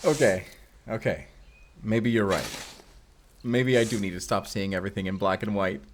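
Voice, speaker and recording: A man's voice: on the low side, moderate pace, slight background noise, close to an everyday voice. A man acting a mysterious vampire slayer, earnest and wryly awkward, in an intimate audio roleplay with casual, confiding delivery. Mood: amused, teasing. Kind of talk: cinematic audio roleplay acting, intimate one-sided dialogue.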